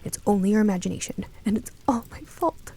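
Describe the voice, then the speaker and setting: A woman's voice: medium pitch, expressive, brisk, mellow, breathy edge. A woman playing an anxious, protective, motherly older woman in a radio drama, with theatrical emotion. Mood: distraught, guilty.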